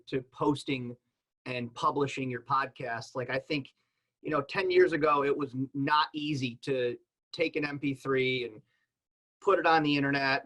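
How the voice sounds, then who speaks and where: Bright, forceful man explaining casually. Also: mid-range voice, rough recording. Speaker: podcaster and panelist. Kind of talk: casual explanatory panel talk.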